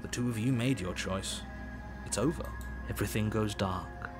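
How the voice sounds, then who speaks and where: A man's voice: on the low side, music in the background, natural voice. A man voicing a sardonic game narrator. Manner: sardonic and deliberate. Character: dry, exasperated male narrator. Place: game audio over background music.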